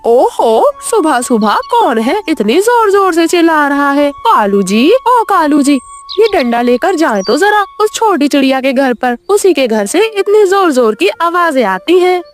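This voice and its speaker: A woman's voice: high voice, very animated, unhurried, soft background music. A woman voicing a bossy female crow wife in a children's cartoon, calling out and egging her husband on.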